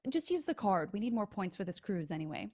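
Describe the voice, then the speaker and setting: An actress playing a smug, bossy wife in a comedy table read. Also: female voice, mid-range voice, very animated, brisk, a little mellow.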